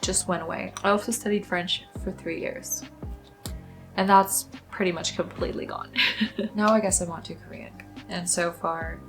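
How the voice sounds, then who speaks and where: A young woman chatting casually to camera as a book vlogger, upbeat and quick to laugh. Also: fairly low pitch, fairly even intonation, faint music behind, low-quality recording.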